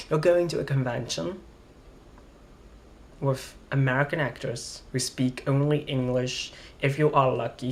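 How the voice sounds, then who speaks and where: A man's voice: medium pitch, gravelly, slight background noise. A young man from Flanders, rambling and hesitant as he complains in a casual vlog. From Belgium, Flanders. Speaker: vlogger.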